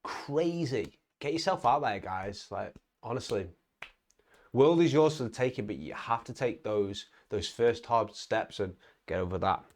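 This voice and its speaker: A man's voice: medium pitch, animated, a little slow. A young man giving a casual motivational talk to his viewers, emphatic, with frequent "right?" tags and stumbling restarts. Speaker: vlogger on online business.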